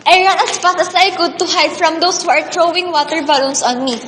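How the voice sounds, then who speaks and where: A woman acts as a bullied, grieving teenage schoolgirl with a high voice and a Filipino accent. She speaks with earnest melodrama, as in an amateur radio play. The sound is reverberant with some hiss. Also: steady tone, fairly quick, a bit bright. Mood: wounded, mournful. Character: orphaned teenage scholarship girl, grieving, bullied, defiant. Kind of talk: amateur radio drama, first-person emotional narration. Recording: reverberant hall, audible background hiss.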